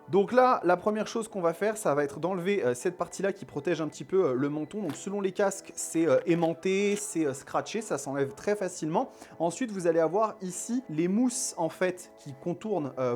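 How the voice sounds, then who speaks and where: High-pitched Frenchman presenting over music. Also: a little mellow. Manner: chatty, addresses viewers directly. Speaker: motorcycle YouTube host. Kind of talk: casual explainer, product unboxing and demonstration.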